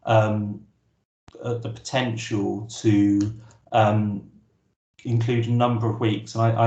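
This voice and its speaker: A man's voice: mid-range voice, darkish tone, muffled recording. A man presenting an online workshop, answering questions casually with frequent restarts. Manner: casual, hesitant, many restarts. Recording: roomy echo.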